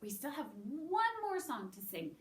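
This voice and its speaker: A woman with a very lively, slightly high voice leads a story time for small children. She is sing-song and encouraging, leaving space for little listeners to respond. The sound is roomy and echoing, picked up a little way from the microphone. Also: a little hiss or hum. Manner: sing-song, animated, encouraging, asking questions and pausing for answers. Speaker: children's story time host at a public library. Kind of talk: children's storytelling and singing, addressing young listeners. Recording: roomy echo, distant microphone.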